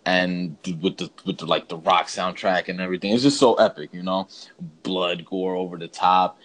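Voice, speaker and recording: A man co-hosting a podcast in casual chat, talking in a slangy, emphatic, rambling way. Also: sits low. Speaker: podcast co-host. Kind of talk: casual chat ranking bad video game movies.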